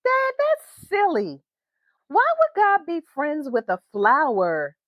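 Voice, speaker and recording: A woman's voice: sits high, wildly swooping pitch, slow pace, no room sound, highs cut off, pitched above the performer's own voice, exaggerated voice. A woman voicing an innocent, eager young girl in a children's storybook, earnest and wide-eyed. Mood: curious, doubtful. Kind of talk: children's storybook read-aloud.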